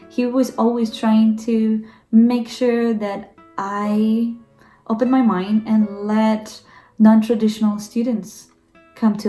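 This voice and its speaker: A woman's voice: medium pitch, warm tone. A woman from Ecuador, a Rotary exchange coordinator recording an earnest tribute message with some hesitations. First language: Spanish. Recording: roomy echo with faint guitar.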